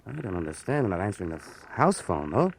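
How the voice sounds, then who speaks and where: A man's voice: deep voice, very animated, brisk, vocal fry, husky, full character voice. A man playing a nervous, deferential apartment doorman in a 1950s radio drama, hesitant and polite. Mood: uneasy.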